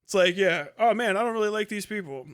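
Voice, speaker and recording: A man's voice: high-pitched, fast talker, a bit bright, clean tone. A man hosting a casual, unscripted livestream commentary, rambling along in slangy, profanity-laced talk. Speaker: livestream host.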